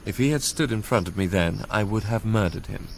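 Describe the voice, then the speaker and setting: An actor playing a young Englishman who recounts his past in a measured, literary way for a radio drama. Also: lowish voice, vocal fry, noisy background. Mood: tense, bitter. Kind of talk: radio drama first-person narration.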